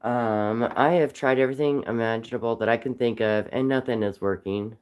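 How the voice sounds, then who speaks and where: Man, raspy from a cold, live-stream host, rambling casual chat, soft-spoken. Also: medium pitch, steady tone, fairly quick, a little hiss or hum. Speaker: livestream host whittling wood.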